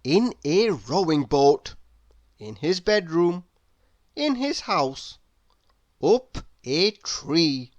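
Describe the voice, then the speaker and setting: A woman with a slightly low voice narrates a children's read-aloud. Her delivery is slow and expressive, with very wide swings of intonation, as she addresses the listener directly. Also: muffled recording. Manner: expressive, asks the listener questions. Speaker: storyteller.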